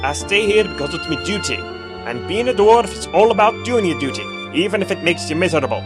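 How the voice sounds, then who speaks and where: A man's voice: sits high, animated, fairly quick. A man voicing a stern, dutiful male dwarf cleric in a cartoon fandub. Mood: indignant. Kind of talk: animated comic fandub acting. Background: prominent music.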